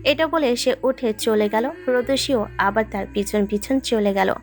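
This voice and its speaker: A woman's voice: fairly high pitch, measured pace. A woman reading a scripted romantic story as its narrator. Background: background music.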